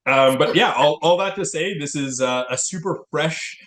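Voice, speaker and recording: A man's voice: on the high side, normal speed, fairly bright tone. Italian-native guy rambling about wine, lots of restarts, roomy echo. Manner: rambling, many repeated words and restarts. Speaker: podcast co-host. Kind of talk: casual chat over wine.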